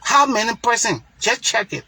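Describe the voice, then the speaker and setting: Forceful, husky Liberian man speaking out. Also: extremely high pitch, big pitch swings, normal speed. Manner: emphatic, preacher-like, repeats phrases for stress. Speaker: political commentator. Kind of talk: impassioned monologue commentary.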